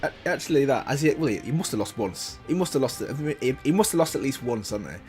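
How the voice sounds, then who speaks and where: A man's voice: medium pitch, lively intonation, quick pace, a little mellow, faint music behind. A man hosting a reaction video, thinking aloud in casual commentary with frequent restarts and self-corrections.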